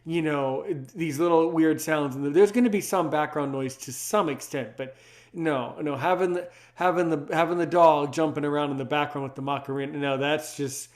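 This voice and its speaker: A man with a slightly high voice hosting a podcast. He speaks casually and emphatically, circling back over words and phrases as he goes. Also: fairly even intonation, moderate pace. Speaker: podcast host. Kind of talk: casual interview chat.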